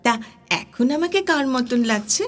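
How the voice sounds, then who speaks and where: A woman's voice: high voice, expressive, a little slow. A woman playing a studious young university student in a recited dramatic dialogue, chiding and affectionate, with a Kolkata Bengali accent. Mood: teasing, curious. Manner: teasing, questioning, chiding. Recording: studio voice over guitar music. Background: plucked guitar music.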